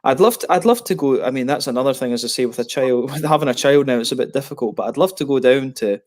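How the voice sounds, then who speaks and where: A man's voice: medium pitch, fast, darkish tone. A man in his thirties chatting casually on a video call through a headset, rambling with lots of restarts and fillers. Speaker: beer reviewer on a video hangout. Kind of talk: casual chat.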